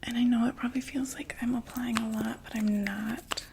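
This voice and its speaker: A woman in an ASMR roleplay voicing a chatty undead female vampire makeup saleswoman, whispering softly and reassuringly. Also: on the high side, fairly even intonation, moderate pace, a little hiss or hum, lightly acted. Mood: soothing. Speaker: ASMR performer.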